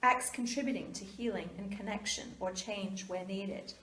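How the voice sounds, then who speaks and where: A woman's voice: medium pitch, fairly bright tone. Woman giving a talk in an echoey room. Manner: deliberate, measured phrasing with pauses. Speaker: speaker giving a talk to a congregation. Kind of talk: inspirational sermon-like talk. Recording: echoey room, distant mic, faint background noise.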